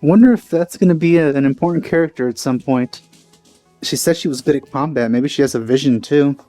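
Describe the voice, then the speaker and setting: A man giving casual, low-key commentary as he plays a game, musing aloud. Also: fairly quick, mellow, no echo. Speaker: gameplay streamer. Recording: game music behind.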